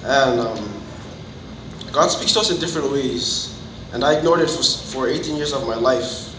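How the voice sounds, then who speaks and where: A man in his twenties from Fiji with a Fijian accent, giving a personal testimony to a group in an earnest, halting way. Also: mid-range voice. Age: 20s. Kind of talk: personal testimony, informal public speaking. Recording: roomy, distant mic, noticeable background noise.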